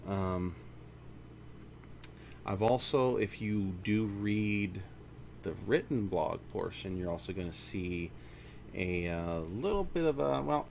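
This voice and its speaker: A man's voice: low voice, takes it slowly, gravelly, long pauses, darkish tone, a little hiss or hum. A man giving a casual, rambling studio update as a game developer, often correcting himself mid-sentence. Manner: informal, rambling, self-correcting. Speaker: indie game studio founder. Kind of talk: casual monthly studio update to camera or mic.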